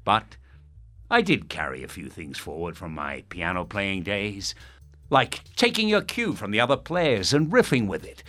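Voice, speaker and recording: An Irish actor playing a self-important, manipulative radio host and politician, telling stories in a pompous, theatrical way. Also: male voice, lowish voice, sing-song, normal speed, fairly bright tone, faint music behind. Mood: grandiose. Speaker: actor in an audio drama. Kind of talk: audio drama acting as a radio host.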